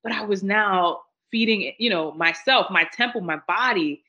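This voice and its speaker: A woman's voice: medium pitch, normal speed, sharp tone. A woman earnestly sharing her personal health story as a guest, reflective and conversational. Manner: earnest, reflective, many "like" fillers. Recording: dull lo-fi audio.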